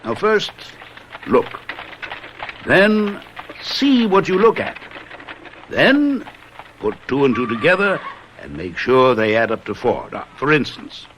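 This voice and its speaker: An actor playing a brilliant, self-assured detective mentor in a radio drama, teaching in a deliberate, emphatic manner. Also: male voice, mid-range voice, big pitch swings, a bit bright, slight background noise, rough recording. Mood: instructive, pointed.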